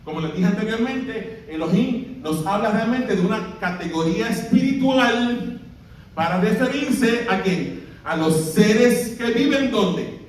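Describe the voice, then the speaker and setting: A high-voiced Puerto Rican man preaches to his congregation, asking them questions and having them read along aloud. His voice comes from some distance away, in a church hall full of strong echo. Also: lively intonation, measured pace. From Puerto Rico. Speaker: pastor. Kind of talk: sermon.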